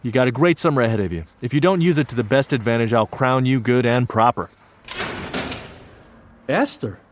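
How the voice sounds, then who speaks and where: An actor narrating as an earnest young student, telling his story in an earnest, wry and lively way. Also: mid-range voice, normal speed, lightly acted. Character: earnest young Japanese American male student. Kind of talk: one-man stage play narration.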